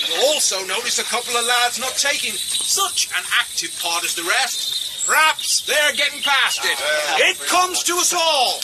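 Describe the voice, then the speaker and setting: Casting: boastful country morris man, rural southern English, very high-pitched voice, loud outdoor ambience. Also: very noisy. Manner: boastful, teasing country talk. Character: cocky, bantering village morris dancer and womanizer. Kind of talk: radio drama acting. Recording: radio play with loud birdsong ambience.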